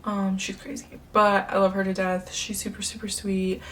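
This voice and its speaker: A woman's voice: medium pitch, monotone, normal speed. A woman in her twenties, born in the United States, chatting upbeat to camera as a beauty vlogger, with lots of fillers. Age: 20s. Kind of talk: casual chat to camera. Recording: slightly distant mic, faint room noise.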